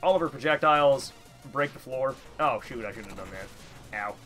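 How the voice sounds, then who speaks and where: A man's voice: medium pitch, animated, moderate pace, a bit bright, faint music behind. A young man with a stuffy nose giving casual, rambling, self-deprecating gameplay commentary. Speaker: gamer, let's player.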